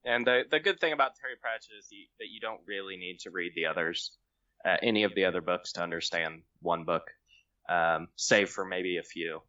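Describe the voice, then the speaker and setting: Male podcast guest, casual chat, lowish and sharp-edged, dry recording. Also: brisk, no echo, lo-fi sound.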